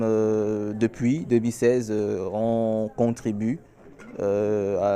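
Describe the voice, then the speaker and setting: A man who organizes the pageant explains the contest in an interview, pausing often with fillers. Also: fairly low pitch, vocal fry, dark tone. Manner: halting, many fillers and restarts.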